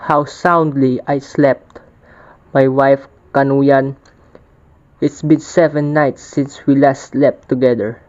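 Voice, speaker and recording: Young male folk-epic hero played by a man, formal and solemn, slow delivery, dull lo-fi sound. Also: mid-range voice, little pitch movement, darkish tone, natural voice. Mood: tender. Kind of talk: readers' theater of a folk epic.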